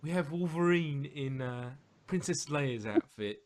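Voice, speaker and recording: Man, fairly high voice, lively intonation, unhurried halting delivery, casual host banter. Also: a crowd in the background. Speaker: host of an audio drama review.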